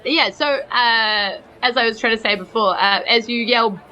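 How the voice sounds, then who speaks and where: A woman's voice: fairly high pitch, moderate pace, sharp tone, highs cut off. A woman casually narrating as a dungeon master for a tabletop game. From New Zealand.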